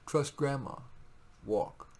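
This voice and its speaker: Male author reading own verse. Unhurried, rough-edged voice, deliberate and wry. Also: medium pitch, animated, a little mellow. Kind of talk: poetry reading with informal asides.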